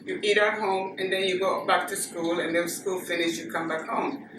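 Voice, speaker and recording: A Ghanaian woman with a low voice tells her story in a measured, unhurried way, often pausing on fillers. She sits some way from the mic. The room is echoey, and steady noise runs underneath her voice. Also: far from the mic. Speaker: interviewee. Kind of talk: oral history interview.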